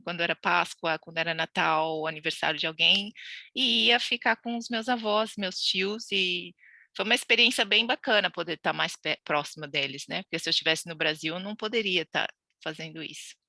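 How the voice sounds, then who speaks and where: A woman's voice: on the low side, fairly quick, creaky, sharp tone, no room sound. A woman from Brazil, a podcast guest telling her immigration story in a chatty, laughing way with many restarts. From Brazil (Santos). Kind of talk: casual interview chat.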